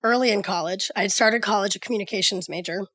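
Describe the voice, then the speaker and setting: A woman from Georgia with a Southern accent and a naturally raspy voice, hoarse from a cold, chatting easily as a podcast guest and laughing often. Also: mid-range voice, quick pace, fairly bright tone, dry recording, clean studio sound. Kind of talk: casual chat.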